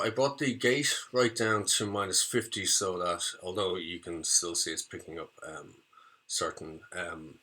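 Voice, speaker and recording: A man with a low voice gives an informal, hands-on demonstration of a music setup. He talks hesitantly, thinking aloud as he explains each step. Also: sharp tone. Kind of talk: informal hands-on software demo.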